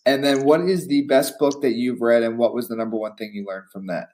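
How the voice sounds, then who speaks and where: A man's voice: medium pitch, brisk. Man hosting a podcast interview. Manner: steady, reads prepared questions. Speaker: podcast host.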